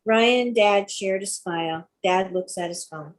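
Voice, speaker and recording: A woman's voice: mid-range voice, a little slow. A woman giving scripted narration, reading out stage directions for a table read.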